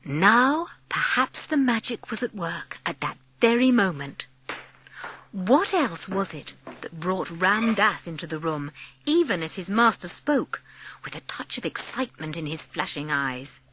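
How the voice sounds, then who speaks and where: Expressive, husky woman narrator. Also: on the low side, unhurried. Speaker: audiobook narrator. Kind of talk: scripted story narration.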